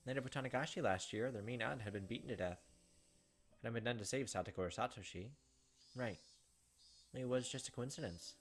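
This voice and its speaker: A man's voice: medium pitch, fairly quick, long pauses. A man hosting a gaming video, reading a visual novel aloud and adding casual commentary over game music. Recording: music behind the voice.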